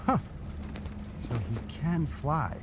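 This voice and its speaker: Man doing a skeptical councilman, blunt, argumentative, lively pitch, noisy backdrop. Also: slow. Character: wary, blunt city councilman. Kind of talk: audio drama acting.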